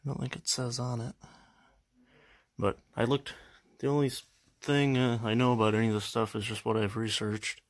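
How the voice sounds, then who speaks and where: A man's voice: medium pitch, level tone, normal speed, long pauses. A young man casually walking through his radio collection on camera, talking in a hesitant, unsure way with lots of fillers. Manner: unsure, hesitant, many fillers and trailing phrases. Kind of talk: casual show-and-tell walkthrough.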